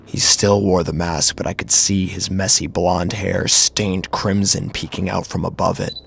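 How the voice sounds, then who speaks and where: A man's voice: sits low, little pitch movement, unhurried, creaky, a bit bright, a little hiss or hum. A man narrating a horror story in the first person, in a grave, brooding, dramatic manner.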